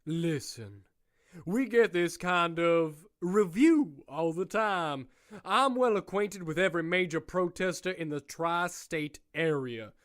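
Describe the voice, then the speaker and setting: An actor playing a smug, condescending prison warden who speaks slowly and deliberately in an audio drama. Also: male voice, a little high, lively intonation. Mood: menacing. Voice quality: smooth, condescending, slow and deliberate. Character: smug menacing male prison warden, middle-aged.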